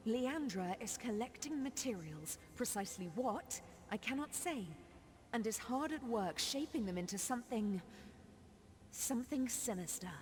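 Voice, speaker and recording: An actress playing a solemn, foreboding sorceress in a fantasy video game, speaking in grave, formal, measured phrases. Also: female voice, fairly high pitch, lively intonation, a little mellow, lightly acted. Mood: ominous. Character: solemn, foreboding sorceress grieving over her wayward sister. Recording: long reverberant hall.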